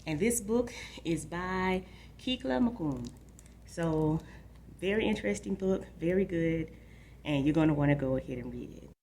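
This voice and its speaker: Female book-show host, low register, direct explanatory delivery for young readers, slightly noisy recording. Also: animated, unhurried, a little mellow. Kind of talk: informal book talk and read-aloud for children.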